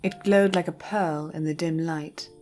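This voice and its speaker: Low-pitched woman narrating a story. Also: fairly even intonation, measured pace, darkish tone, faint music behind.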